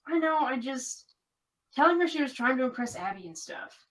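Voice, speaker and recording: A woman's voice: high-pitched, expressive, moderate pace, far from the mic. A woman playing an earnest, conflicted young American woman in an audio drama, confiding in natural dialogue. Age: young adult.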